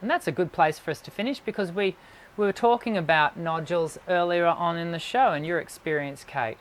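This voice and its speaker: A man, a voice teacher, hosts a live stream, reading viewer questions and answering in a measured way. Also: on the high side. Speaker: host and voice teacher. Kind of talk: live-stream show hosting.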